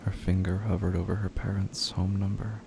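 A man narrating a scripted horror story in a deliberate, ominous manner. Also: low-pitched, little pitch movement, gravelly, background noise.

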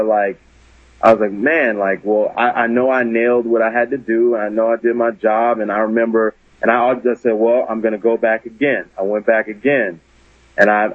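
Husky man on a tinny, phone-like line. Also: lowish voice, little pitch movement, normal speed, no room sound. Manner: storytelling, self-deprecating, earnest. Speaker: comedian guest. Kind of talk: casual storytelling on a podcast.

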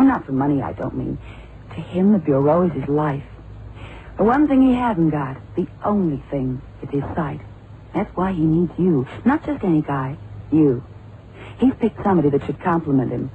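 Poised secretary, tinny old radio. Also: female voice, sits low, a little hiss or hum. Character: poised, loyal female secretary, earnest and admiring. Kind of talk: 1960s-70s radio drama acting.

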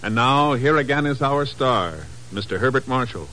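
A man's voice: mid-range voice, animated, normal speed, sharp tone, poor audio. American radio announcer, man, over music. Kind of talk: formal 1950s radio announcing. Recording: vintage radio broadcast recording.

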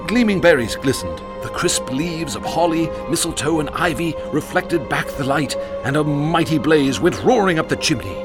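A man narrating a radio drama with vivid, expressive storytelling. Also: a little high. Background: music.

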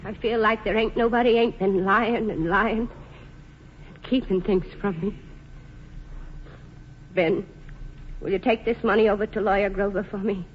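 An actress playing a plain-spoken, long-suffering working-class wife with folksy, earnest speech in a 1940s radio drama. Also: female voice, medium pitch, fairly even intonation, normal speed, long pauses, close to an everyday voice. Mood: weary, resigned. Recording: dull, noisy vintage radio recording.

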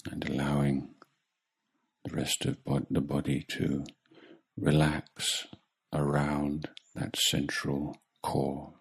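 Man, meditation teacher, extremely deep voice, flat steady intonation, calm, measured, pausing between short phrases. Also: gravelly, slightly rough. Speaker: Buddhist monk meditation teacher. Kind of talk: guided meditation.

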